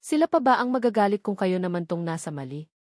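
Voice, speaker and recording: A woman with a Filipino accent voicing a calm, reassuring yet commanding young male lover in a novel narration. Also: mid-range voice, quick pace, no echo, studio quality. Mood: calm, firm.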